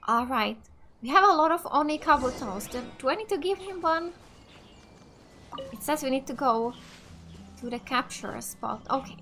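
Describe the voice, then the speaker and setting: A woman's voice: high voice, lively intonation, normal speed, pauses often, sharp tone. A woman from Finland, a native Finnish speaker, doing let's play commentary and thinking aloud as she plays. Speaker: gamer. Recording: game music behind the voice.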